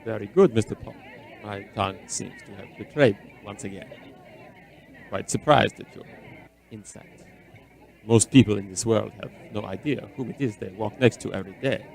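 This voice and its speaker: An actor in a radio drama playing a weary, secretive, centuries-old immortal man who speaks in formal, measured, eloquent phrases. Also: on the low side, gravelly, darkish tone, some chatter behind, rough recording. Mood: courteous, guarded. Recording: orchestral film music underneath.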